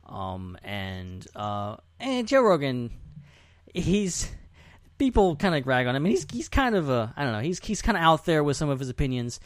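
A man's voice: medium pitch, big pitch swings, normal speed, dry recording, clean studio sound. A man hosting a podcast in casual chat, talking in an informal, jokey, rambling way. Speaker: podcast host.

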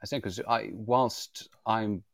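A man's voice: fairly low pitch, takes it slowly, creaky, dry recording, studio quality. A man conducting an informal interview as a fellow writer, circling into his points with hesitant repeated starts. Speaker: audio drama writer acting as interviewer.

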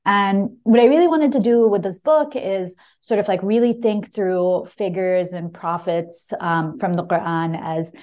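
A woman's voice: mid-range voice, unhurried, hardly any pauses, a little mellow. A woman talking as a guest author about a memoir, reflective and conversational, with frequent small hedges. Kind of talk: casual book talk and reading from own memoir.

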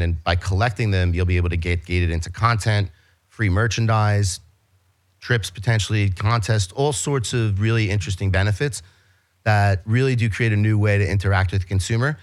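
A man with a New York accent, a business guest talking in a casual interview, eagerly explaining his company with many restarts and fillers. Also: lowish voice.

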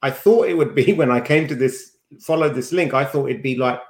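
A man's voice: medium pitch, a little fast. A man co-hosting a podcast, chatting in an informal, teasing way. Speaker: podcast co-host. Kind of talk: casual chat. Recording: roomy, echoing sound.

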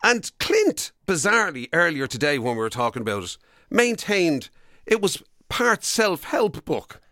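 An Irish man with a higher-pitched voice that swings about in lively fashion, chatting as a radio co-host. He rambles and teases, often at his own expense, in a close, dry-sounding studio. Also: a little slow, no room sound. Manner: rambling, teasing, self-deprecating. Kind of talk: casual comic banter.

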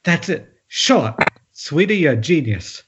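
A man's voice: on the high side, very animated, a little slow. A man playing a manic, foul-mouthed horror writer in an amateur screenplay table read. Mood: excited. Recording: dull, noisy internet call audio.

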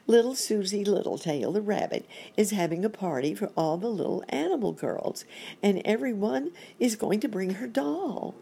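A flustered monkey doctor from a children's storybook, acted out by a woman, pitched high and swooping up and down. He laments his lot in polite, wordy exclamations, full of self-pity. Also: expressive, normal speed, gravelly, mellow, breathy edge. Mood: overwhelmed, fretting.

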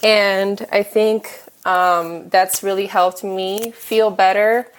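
A woman chatting frankly and casually as a guest, pausing often with fillers and restarts. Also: mid-range voice, fairly even intonation. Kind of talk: casual chat.

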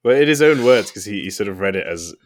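A man's voice: on the low side, lively intonation, quick pace. A man co-hosting a podcast, speaking with a dry, teasing wit in casual chat. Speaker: podcast co-host.

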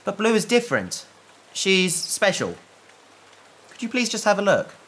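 Man playing an earnest, bright-voiced space researcher. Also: high-pitched, huge pitch swings, measured pace, a little hiss or hum, full character voice. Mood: earnest, hopeful. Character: earnest, naive human male space researcher. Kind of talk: sci-fi audio drama acting.

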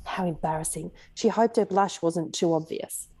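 A woman's voice: fairly low pitch, normal speed, warm tone, husky, no room sound. A woman with an Australian accent, an author talking casually and reading fiction aloud as a guest.

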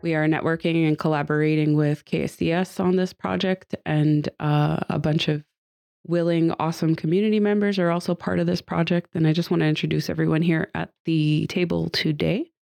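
A woman's voice: sits low, fairly even intonation, a little fast, creaky, a little mellow. Slightly nasal woman hosting a chat. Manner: chatty and upbeat, with frequent fillers and light laughs. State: recovering from a cold, a little nasal. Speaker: podcast host. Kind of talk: casual group podcast chat. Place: seated at a table with guests.

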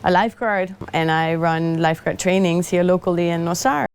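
A woman's voice: on the low side, moderate pace, smooth. A woman from Denmark introducing herself plainly and steadily as a surf instructor and lifeguard.

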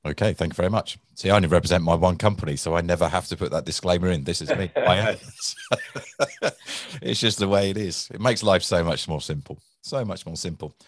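A man hosting a podcast in a relaxed, chatty way, with jokey asides. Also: low voice, fairly quick. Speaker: podcast host.

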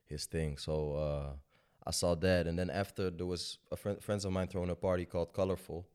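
Deep-voiced man, laid-back and rambling. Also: brisk, clear, resonant tone. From Sudan. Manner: relaxed, rambling, laughs often, many fillers. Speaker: guest musician. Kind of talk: casual interview talk.

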